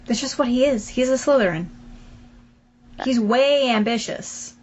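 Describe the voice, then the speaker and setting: A woman's voice: fairly high pitch, measured pace, darkish tone. A woman co-hosting a podcast in casual chat, teasing and stubbornly arguing her side. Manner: teasing, stubborn, laughs often. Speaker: podcast co-host. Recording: dull lo-fi audio with faint background noise.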